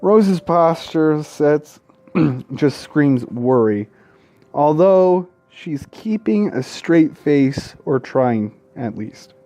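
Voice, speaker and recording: A man's voice: a little high, expressive, extremely slow, mellow. A man reading a visual novel aloud as a gaming host, tripping over words, correcting himself and dropping casual asides and swearing. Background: faint game music.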